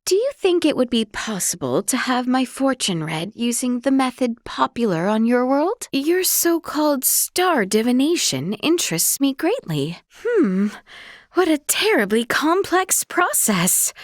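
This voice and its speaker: A woman's voice: fairly high pitch, no room sound, full character voice. Gracious young fantasy princess type for a game, really formal and earnest, takes her time, dry close recording. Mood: curious, polite. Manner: formal, courtly, polite, archaic phrasing. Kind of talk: video game voice acting.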